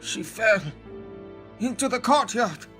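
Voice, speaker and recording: A man voicing a humble, middle-aged shop owner in an anime fan dub, a grieving father who speaks politely, formally and haltingly. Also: high-pitched, animated, fairly bright tone, soft background music, heavily acted. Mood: grieving, tearful. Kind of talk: anime dub acting, dramatic monologue.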